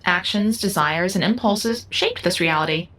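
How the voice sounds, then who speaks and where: A woman's voice: lowish voice, normal speed, a bit bright. Woman playing an enigmatic, formal cosmic guide. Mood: serene, probing. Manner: formal, precise, philosophical. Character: enigmatic, all-knowing cosmic niece speaking in riddles. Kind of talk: audio drama acting.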